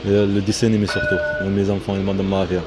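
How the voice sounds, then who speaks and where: A man giving a casual, rambling vlog commentary in a halting, repetitive way, often breaking off his own sentences. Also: sits low, monotone, loud music behind the voice. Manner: halting, repetitive, self-interrupting. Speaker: vlogger. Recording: noisy outdoor recording with vehicle sounds.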